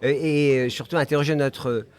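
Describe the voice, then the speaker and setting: A man's voice: on the high side, steady tone, a little fast, a little hiss or hum. A middle-aged man hosting a podcast, chatting in a jovial, informal interview style. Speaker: podcast host. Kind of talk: casual interview chat.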